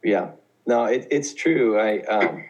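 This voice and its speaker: A man's voice: on the low side, lively intonation, takes it slowly, darkish tone, dull audio. A man in his thirties from Oklahoma, a teacher, talking casually as a guest in an interview, with many fillers and restarts. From Oklahoma, USA. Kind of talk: conversational interview.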